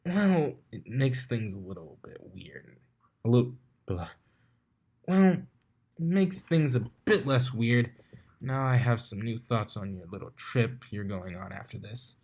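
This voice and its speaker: A man's voice: medium pitch, animated, measured pace, creaky, a little mellow. Teasing, laid-back unicorn mare voiced by a man. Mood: curious. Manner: nosy, playful teasing. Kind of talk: acted fan fiction dialogue.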